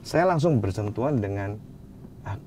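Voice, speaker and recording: Low-voiced man, relaxed. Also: normal speed, a little hiss or hum. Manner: relaxed, mixes English phrases into speech. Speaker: wine expert guest. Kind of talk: casual interview answers.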